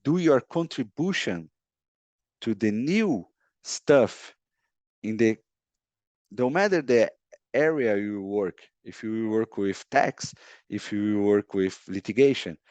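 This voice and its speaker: A middle-aged Brazilian man whose first language is Portuguese, speaking English with a Brazilian accent and often pausing to search for words on a video call. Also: on the low side, expressive, darkish tone, no echo. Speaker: guest, sports lawyer. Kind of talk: podcast interview.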